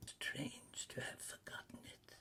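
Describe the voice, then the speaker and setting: A man voicing a gentle, solemn storybook spirit in a slow, measured, hushed and breathy whisper. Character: gentle, mild, solemn ghostly spirit guide. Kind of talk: storybook character reading.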